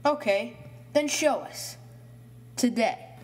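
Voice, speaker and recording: A man's voice: very high voice, pitched above the performer's own voice. A man voicing a taunting schoolboy bully who mocks and threatens in an audiobook horror story. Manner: taunting, slow challenging delivery.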